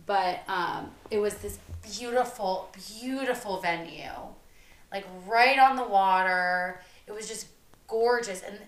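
A woman's voice: mid-range voice, slow pace. Chatty woman in an echoey room. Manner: chatty, uses "like" often. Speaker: podcast co-host. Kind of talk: casual chat.

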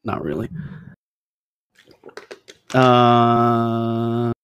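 A man's voice: medium pitch, monotone, drawn-out words, fairly bright tone, muffled recording, slight background noise. A man chatting casually in a teasing, joking manner. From Filipino background.

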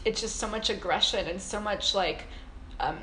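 A woman's voice: mid-range voice, normal speed, fairly bright tone. An American woman chatting casually and informally, rambling and often saying "like". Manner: rambling, informal, heavy use of "like". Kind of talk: casual conversation about travel. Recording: roomy echo, faint background noise.

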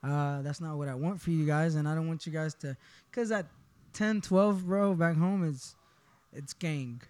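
A man's voice: fairly high pitch, normal speed, a little mellow, clear, resonant tone, dry recording. Laid-back young man from Honduras. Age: 20s. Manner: laid-back, often says "you know what I mean". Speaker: podcast guest, barbershop owner. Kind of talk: casual personal storytelling. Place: barbershop.

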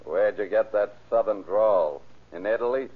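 A tinny vintage radio recording carries a man playing a mocking police officer. He is husky, blunt and sardonic, in crime-serial style. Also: on the low side, moderate pace, lightly acted. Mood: skeptical. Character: dry, mocking, skeptical male police officer. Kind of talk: old-time radio crime drama acting.

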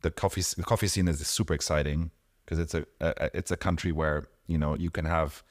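A man with a very deep, low voice, from Mexico, chatting as an interview guest. He sounds eager and keeps saying "you know". The sound is close and dry, with no room in it. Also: steady tone, fast talker, no echo. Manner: enthusiastic, peppered with "you know". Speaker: guest, coffee subscription owner. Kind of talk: casual conversational interview.

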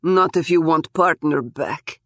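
A woman's voice: sits low, measured pace, a bit bright, no echo, studio quality. A woman voicing a brutish, heavily accented male gangster who talks in clipped, broken, menacing phrases.

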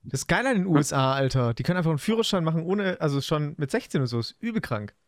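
A young man with a fairly high voice co-hosts a podcast among friends. He speaks in loose, slangy, colloquial phrases, recorded close and dry with no room sound. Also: lively intonation, quick pace, no room sound. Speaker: podcast co-host. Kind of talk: casual chat among friends.

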